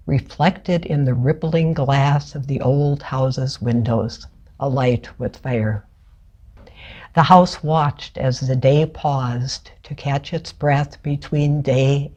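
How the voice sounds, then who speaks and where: A person reading poetry aloud in a measured, deliberate way, pausing between short phrases. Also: medium pitch, a little mellow, muffled recording. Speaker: writer reading their own work.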